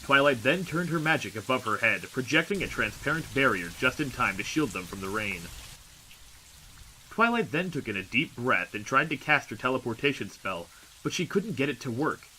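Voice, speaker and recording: A man reading a scripted story as a narrator, with expressive, dramatic delivery. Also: medium pitch, moderate pace, slight background noise, poor audio. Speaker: audiobook narrator.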